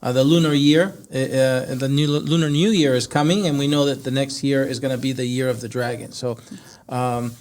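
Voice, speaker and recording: A man's voice: medium pitch, fairly even intonation, a little fast, darkish tone. A man hosting a podcast, speaking in a conversational interview style, a non-native English speaker. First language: not English. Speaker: podcast host.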